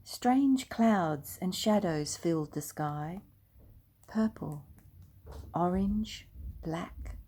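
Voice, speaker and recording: Slow, gentle older woman reading a story. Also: on the low side, expressive, husky. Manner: slow, gentle and hushed, dropping to near whispers. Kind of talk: reading a children's storybook aloud.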